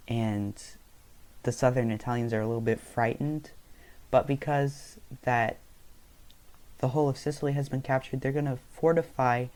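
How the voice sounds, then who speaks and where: Man, young adult, non-native english, casual map narration, self-correcting, mellow dark tone. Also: mid-range voice. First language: not English. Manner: rambling, self-correcting, loose storytelling.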